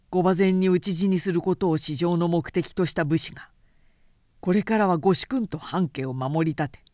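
A woman voicing a resolute, principled samurai man in an audiobook, speaking in a firm, quiet, deliberate and formal way. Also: low-pitched, dry recording, studio quality, natural voice. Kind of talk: dramatic audiobook character reading.

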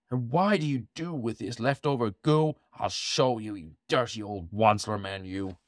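A man's voice: fairly high pitch, no echo, studio quality, pitched above the performer's own voice. A man voicing a small, cranky male forest creature who scolds in rhyme, read from a storybook. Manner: scolding, indignant rhyming speech. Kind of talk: storybook reading aloud.

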